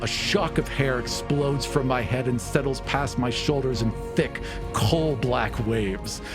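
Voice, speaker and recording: A man narrating a tabletop game as game master, in a deliberate, dramatic, descriptive style. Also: mid-range voice. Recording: music behind the voice.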